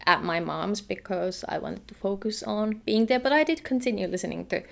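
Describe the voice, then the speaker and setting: A woman's voice: medium pitch, normal speed, creaky, a bit bright. A young woman from Finland with a Finnish accent, chatting casually in a vlog and laughing often. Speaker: vlogger.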